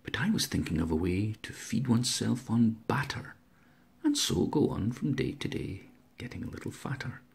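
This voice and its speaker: A man's voice: fairly low pitch, big pitch swings, moderate pace, vocal fry, breathy edge. A man, an author speaking informally and reciting verse aloud. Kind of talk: informal introduction and dramatic poetry recital.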